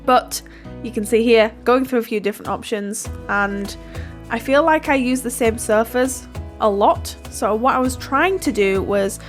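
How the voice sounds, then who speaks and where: A woman's voice: on the high side, measured pace, soft background music. A woman, stuffy from hay fever, chatting casually through a voiceover of her building game, giggling and self-deprecating. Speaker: YouTuber narrating a Sims build.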